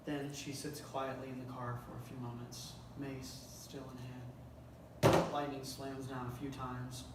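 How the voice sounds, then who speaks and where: A man's voice: mid-range voice, completely flat delivery, measured pace. Echoey, distant man directing a rehearsal. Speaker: filmmaker directing a table read. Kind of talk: casual directing talk, reading script aloud. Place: indoor room at a group table read. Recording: echoey noisy room, far from mic.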